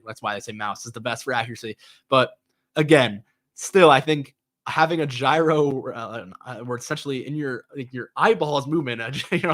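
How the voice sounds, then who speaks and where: A man's voice: mid-range voice, fairly bright tone. A young man hosting a gaming news show, chatting loosely with many fillers and restarts, sounding nasal from a cold. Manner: rambling, lots of fillers and restarts. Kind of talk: casual solo commentary.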